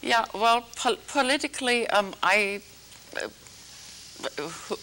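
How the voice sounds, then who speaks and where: A woman from Algeria hosting a small studio talk show, gently prompting her guest with hesitant, filler-laden questions. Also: a little high, animated, slow pace, poor audio. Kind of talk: interviewing a guest.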